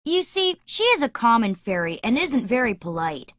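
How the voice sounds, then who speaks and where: A woman voicing a cocky, excitable young boy who never grows up in an animated children's story, boastful and blunt. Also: on the high side, normal speed, no room sound, studio quality. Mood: chatty, blunt.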